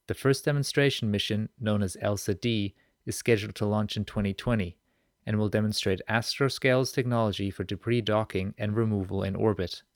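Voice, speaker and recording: A man's voice: on the low side, a little fast, no room sound, clean studio sound. A man hosting a podcast, presenting and interviewing. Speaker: podcast host. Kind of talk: scripted podcast introduction and interview.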